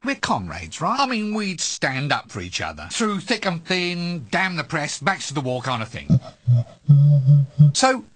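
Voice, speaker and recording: An actor playing a hapless, sardonic and cowardly assistant wizard in a video game, with an exasperated, whiny manner. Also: male voice, on the high side, wildly swooping pitch, measured pace, fairly bright tone, no room sound, exaggerated voice. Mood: hesitant, wheedling. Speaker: video game player character. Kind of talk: scripted comic game dialogue.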